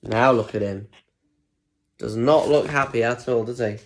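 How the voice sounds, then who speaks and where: A man's voice: mid-range voice, measured pace. A man reading a children's story aloud expressively, with chatty asides and questions to young listeners about the pictures.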